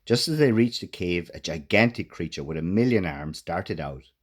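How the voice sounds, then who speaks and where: A man reading a children's storybook aloud as an expressive narrator. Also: deep voice, normal speed. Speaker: author reading own children's book.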